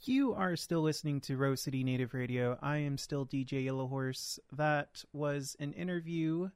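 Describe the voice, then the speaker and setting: A man hosting a radio show as DJ, announcing in a relaxed, friendly way. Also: fairly high pitch, normal speed, clean tone, no room sound. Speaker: radio host, DJ. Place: radio studio.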